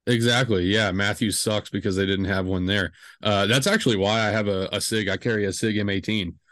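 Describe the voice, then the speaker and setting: Young man, podcast host, low voice, dry sound, rambling, joking. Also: steady tone, brisk, fairly bright tone, no room sound. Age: 20s. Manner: rambling, joking, lots of fillers. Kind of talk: casual podcast chat.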